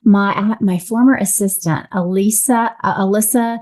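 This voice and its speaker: A woman's voice: medium pitch, fairly even intonation, unhurried, a little mellow. A woman relationship coach explaining things as a podcast guest in a casual, reflective, conversational way. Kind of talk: casual explanatory interview talk.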